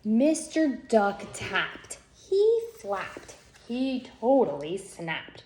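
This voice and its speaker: A woman narrates a children's story with dramatic phrasing. She takes her time and varies her pitch widely. The recording is echoey, with a little background noise. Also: huge pitch swings, extremely slow. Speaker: storyteller reading a picture book aloud. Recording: echoey room with faint noise.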